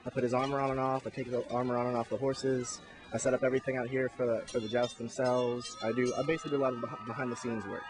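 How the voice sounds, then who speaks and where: A man giving an informal, earnest, halting explanation as a festival squire, recorded outdoors. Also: mid-range voice, flat delivery. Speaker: jousting squire and backstage crew member at a Renaissance festival. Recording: noisy outdoor location recording.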